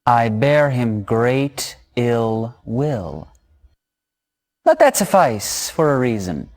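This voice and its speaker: An actor playing a sly, insinuating trader with courtly, measured speech in a fantasy video game. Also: male voice, mid-range voice, very animated, a little mellow, heavily acted. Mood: coldly scheming. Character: sly, smooth-talking shady trader with a cold grudge. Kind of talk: video game cutscene acting.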